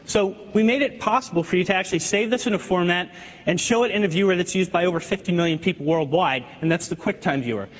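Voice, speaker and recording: A man giving a live software demo on a keynote stage, eager and restarting words as he goes. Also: high voice, fast. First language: not French. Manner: eager, repeats words while demoing. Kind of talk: live product demo presentation. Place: large conference hall. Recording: lo-fi hall recording with crowd.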